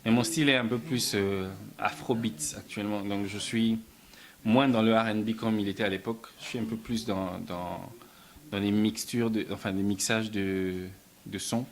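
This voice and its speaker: Hesitant low-voiced man being interviewed. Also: steady tone, moderate pace. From Belgium. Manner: hesitant, many repeated words and restarts, soft-spoken. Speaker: musician and film writer, interview guest. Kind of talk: casual interview answers.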